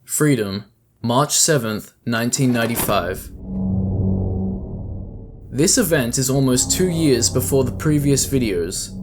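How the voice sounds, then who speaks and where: A man's voice: mid-range voice, lively intonation, measured pace, pauses often, music in the background. A man giving scripted, explanatory narration as the host of a video essay.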